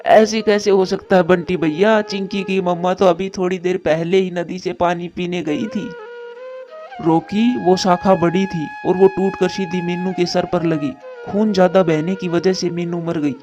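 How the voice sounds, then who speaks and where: A man's voice: sits high, fairly even intonation, unhurried, a little mellow, muffled recording, soft background music. A man voicing a caring, troubled adult male bird in a cartoon moral story, speaking earnestly and with plain drama. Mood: distressed.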